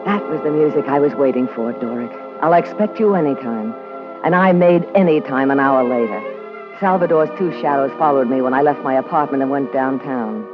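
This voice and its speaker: A woman's voice: extremely low pitch, a little fast. A muffled old radio recording with music under a brassy American dame. She is a hard-boiled, slangy party girl from a noir radio play, tough and sardonic in every line. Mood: wry reminiscing. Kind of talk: 1950s radio noir acting, narration and dialogue.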